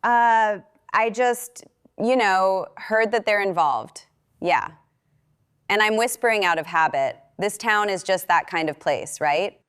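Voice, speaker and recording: An actress playing a friendly, gossipy, affectionate shopkeeper in naturalistic audio drama dialogue, with a playful, drawling manner. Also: female voice, medium pitch, expressive, takes it slowly, fairly bright tone, clean tone, no echo, studio quality. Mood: coy gossiping. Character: friendly, teasing small-town shopkeeper woman, gossipy and affectionate.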